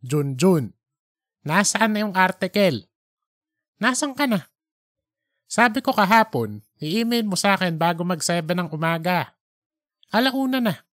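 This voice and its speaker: A man's voice: sits high, sing-song, measured pace, long pauses, fairly bright tone, dry recording, studio quality, voice pushed up, full character voice. A man voicing an exasperated newspaper editor who questions sharply while holding back his irritation, in an audiobook story. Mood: exasperated, stern. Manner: restrained irritation, pointed questioning.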